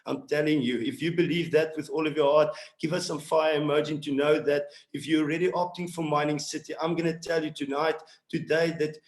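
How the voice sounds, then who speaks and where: A man's voice: fairly high pitch, steady tone, brisk, hardly any pauses. A South African man whose first language is Afrikaans speaks with an Afrikaans accent in a slightly raised register. He exhorts his listeners earnestly, driving points home through repetition like a motivational team leader. The sound is noticeably echoey and roomy, as on an online call.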